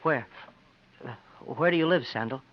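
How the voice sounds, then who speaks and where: A man's voice: medium pitch, normal speed, natural voice. An actor playing an earnest young man who narrates his frontier adventure in 1950s radio drama style. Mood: friendly. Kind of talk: 1950s radio acting, first-person storytelling. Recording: dull 1950s radio recording with music.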